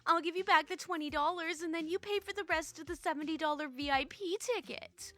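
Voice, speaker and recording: Woman putting on an entitled, pushy, bright-voiced woman. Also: extremely high pitch, a little fast, no echo, soft background music, voice pushed up. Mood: breezy, presumptuous. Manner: presumptuous, pushy. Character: entitled, demanding woman wanting free things. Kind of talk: acted dialogue in story reading.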